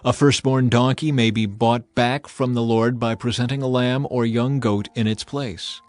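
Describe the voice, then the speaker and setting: A man's voice: mid-range voice, steady tone, moderate pace, no room sound, lightly acted. A man voicing a solemn, commanding God in dramatized scripture, grave and declamatory. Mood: stern, commanding. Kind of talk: dramatized audiobook acting.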